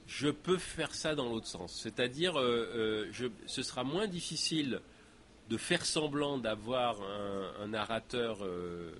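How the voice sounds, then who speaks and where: A man's voice: medium pitch, lively intonation, measured pace, fairly bright tone, a little hiss or hum. Hesitant man answering questions at a lecture. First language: French. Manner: hesitant, many fillers and restarts. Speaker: guest speaker. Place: lecture hall.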